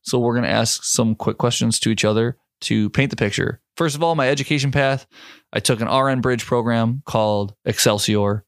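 A man's voice: mid-range voice, moderate pace, no room sound, clean studio sound. A man co-hosting a medical podcast, a nurse talking loosely with lots of "like" and restarts. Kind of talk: casual medical chat.

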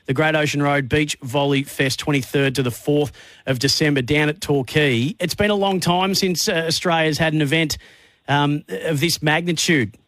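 Enthusiastic radio host with higher voice. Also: male voice, moderate pace, a bit bright, dry recording. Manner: enthusiastic, fillers and long build-up questions. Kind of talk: radio interview.

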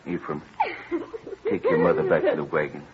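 A man's voice: a bass voice, steady tone, normal speed, over a noisy crowd. An actor playing a solemn, broken-hearted pioneer father in an old-time radio drama. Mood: grieving, resigned. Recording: muffled, noisy vintage radio recording.

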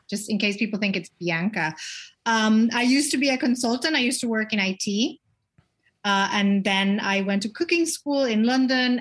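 A woman's voice: mid-range voice, a bit bright. Enthusiastic woman with a Spanish accent. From Spain, raised in Latin America. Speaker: podcast co-host, food speaker. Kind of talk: casual podcast introduction.

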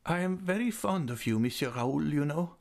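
A man's voice: fairly high pitch, animated, normal speed, gravelly, darkish tone, pitched above the performer's own voice. A man voicing a cheerful, childlike old woman who is frail and bedridden, talking gaily and coaxingly.

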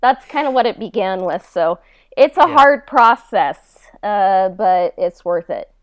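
A woman's voice: mid-range voice, animated, unhurried, no room sound. A woman chatting casually as a podcast guest about her own work, candid and self-deprecating, on slightly dull audio. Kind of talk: casual chat.

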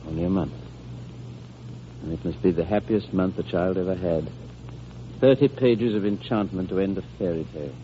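A low, tender male voice of an actor as a grieving, devoted father in a golden-age radio play. He speaks earnestly, with halting pauses. The old recording is muffled and clearly hissy. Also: close to an everyday voice. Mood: sorrowful, earnest. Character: devoted, sorrowful father shielding a dying child with fairy tales. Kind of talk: 1930s-40s American radio drama acting.

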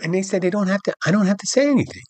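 A man's voice: high-pitched, racing, no echo. A middle-aged man hosting a podcast, telling a rambling story about his bartending shift and acting out the dialogue. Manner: rambling, animated retelling with quoted dialogue and restarts. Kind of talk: casual storytelling monologue.

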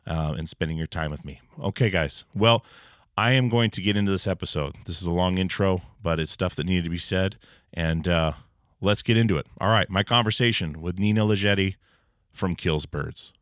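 A very low-voiced man hosting a casual podcast from a home basement. He talks in a loose, rambling way, full of "uh" and "you know". The sound is close and dry, with no room echo. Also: normal speed. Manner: relaxed, rambling with many fillers. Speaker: podcast host. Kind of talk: casual interview chat. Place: old house basement.

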